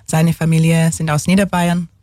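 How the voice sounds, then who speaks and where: A woman from England, speaking German as a second language with an English accent, talks haltingly in a casual interview, searching for words and slipping in English ones. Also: low-pitched, flat delivery, warm tone, no room sound, clean studio sound. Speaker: guest, single mother.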